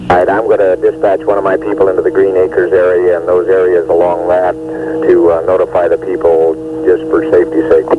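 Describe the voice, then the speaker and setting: A man's voice: deep voice, little pitch movement, brisk. A man working as an emergency dispatcher, speaking in procedural terms over a two-way radio in a 1980 recording. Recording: tinny, heavily noisy 1980 radio recording.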